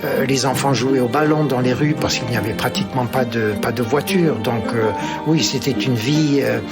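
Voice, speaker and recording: A man's voice: mid-range voice, normal speed, over loud music. A man in his seventies, born in Algeria, speaking with a Pied-Noir French accent and recalling his childhood with many hesitations and restarts. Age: 70s. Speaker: interviewee. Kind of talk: personal reminiscence.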